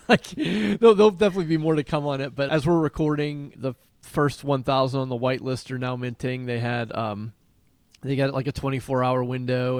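A man's voice: mid-range voice, dry recording. A man co-hosting a podcast in a casual, conversational style. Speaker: podcast co-host.

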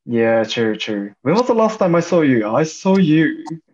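A man's voice: fairly high pitch, sing-song, a little slow, a little mellow, dull audio. Hesitant young man. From Japan. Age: young adult. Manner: hesitant, many fillers. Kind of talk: casual chat.

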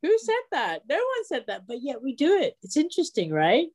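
A woman's voice: sits high, wildly swooping pitch, brisk, darkish tone. Effusive, chatty woman. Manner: effusive and chatty, laughs often. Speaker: guest, leads an online spiritual support group. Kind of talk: casual video chat interview.

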